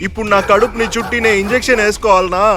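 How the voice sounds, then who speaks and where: Cowardly cartoon henchman, played by a man: high-pitched, whining, easily panicked. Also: steady tone, quick pace, over music, close to an everyday voice. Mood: pained, whining. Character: whiny cowardly male thug henchman. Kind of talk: animated moral story dub.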